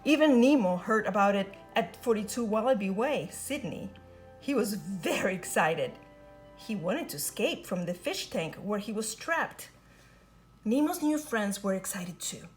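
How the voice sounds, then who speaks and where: A woman reading a children's picture book aloud in an expressive, sing-song storytelling manner. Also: mid-range voice, a little slow, soft background music. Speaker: storybook reader.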